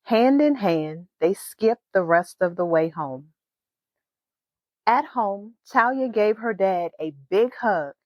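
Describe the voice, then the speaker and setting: A woman narrating in an animated, expressive children's storyteller style. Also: mid-range voice, takes it slowly, no room sound, muffled recording. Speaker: children's author and podcast host.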